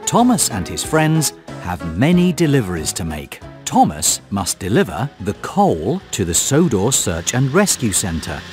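Male narrator for a kids' game: slow, very swooping delivery, encouraging, scripted, with music under the voice. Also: fairly low pitch, wildly swooping pitch, a little mellow. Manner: encouraging, clearly enunciated storytelling.

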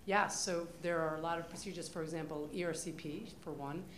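A woman with a fairly low voice speaks confidently and emphatically on a conference panel. The sound is roomy, with the hall echoing around her. A faint hum sits underneath. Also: fairly even intonation, brisk. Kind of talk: panel discussion. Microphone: table microphone.

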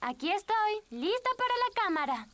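A woman voicing a kind, polite little girl in a cartoon, gentle and earnest. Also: very high-pitched, big pitch swings, moderate pace, loud music behind the voice. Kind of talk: animated cartoon voice acting.

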